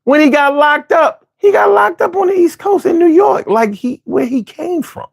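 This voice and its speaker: Man in his forties, philly accent, argumentative, slangy, lively pitch swings. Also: moderate pace, vocal fry. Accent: Philadelphia. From Philadelphia. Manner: animated, argumentative, slangy, restarts often. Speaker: host. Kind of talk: casual opinionated monologue.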